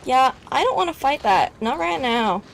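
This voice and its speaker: A woman's voice: sits high, very animated, normal speed, creaky, slight background noise, low-quality recording. A woman giving casual gameplay commentary, talking informally as if to herself. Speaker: gamer, streamer. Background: game music.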